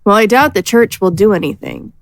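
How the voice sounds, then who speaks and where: A woman's voice: medium pitch, expressive, a little fast, gravelly, no echo. A woman playing a learned, matter-of-fact adventurer who explains things articulately in a fantasy audio drama. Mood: explaining. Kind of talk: scripted audio drama acting.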